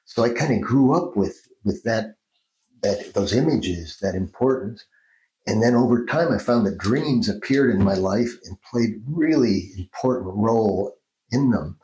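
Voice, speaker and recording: An older man from Mexico with a Mexican accent, a Jungian analyst telling stories in an unhurried interview, often restarting his sentences. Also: on the low side, lively intonation, warm tone, reverberant, slight background noise, poor audio. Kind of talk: storytelling interview answers.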